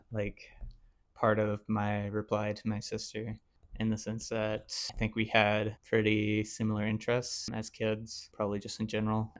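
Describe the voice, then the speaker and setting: A man in his twenties talking casually at home in a rambling, self-reflective way, full of fillers. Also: fairly low pitch. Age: 20s. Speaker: YouTuber.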